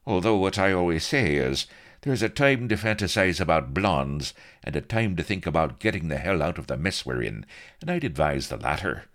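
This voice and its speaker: A man voicing a cynical, wisecracking rogue who talks in a flippant, sarcastic way, his voice pushed high above his own. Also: big pitch swings, normal speed, fairly bright tone, full character voice.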